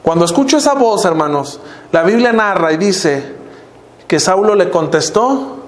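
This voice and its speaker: A man's voice: expressive, a little slow, roomy sound. An older man with a Mexican accent preaching a sermon as minister, often calling out to the brothers and letting his voice fall at the end of phrases. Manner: preaching, often addressing the congregation as brothers, pitch dropping at phrase ends. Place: church hall.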